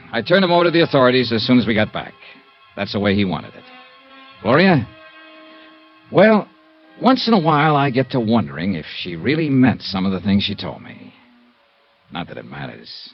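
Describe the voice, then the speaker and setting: An actor playing a tough, wry insurance investigator, clipped and sardonic, in 1950s radio noir. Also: male voice, mid-range voice, wildly swooping pitch, normal speed, long pauses, slightly rough, faint music behind, exaggerated voice. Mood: terse, wry. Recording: dull vintage radio recording.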